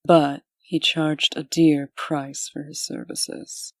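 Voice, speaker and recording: Woman playing a low-voiced, composed official. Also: little pitch movement, slow pace, no room sound. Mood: matter-of-fact. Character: composed, businesslike woman official, firm and proper. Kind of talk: comic dub voice acting.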